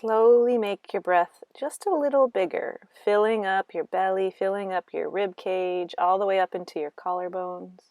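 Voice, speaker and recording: A woman guiding a meditation in slow, gentle instructions with long pauses between phrases. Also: mid-range voice, no room sound. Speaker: meditation guide. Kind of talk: guided meditation narration.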